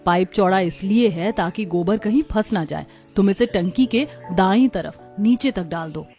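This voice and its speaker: A woman's voice: medium pitch, normal speed, dark tone, soft background music, natural voice. A woman voicing a confident eldest daughter-in-law in a village cartoon, explaining things in an assured, instructive way. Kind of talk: scripted cartoon dialogue.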